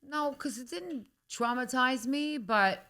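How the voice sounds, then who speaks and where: A woman's voice: high-pitched, slow pace, sharp tone. A woman actress guesting on a talk show, plain-spoken and matter-of-fact. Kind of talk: casual chat.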